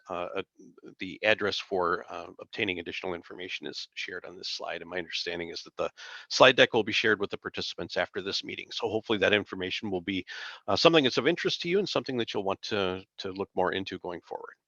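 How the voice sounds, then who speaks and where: A man giving a formal slide presentation on a conference call, reading carefully with occasional halting self-corrections, over dull lo-fi call audio. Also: lowish voice, vocal fry, bright, forceful tone, no echo. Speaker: workforce agency presenter.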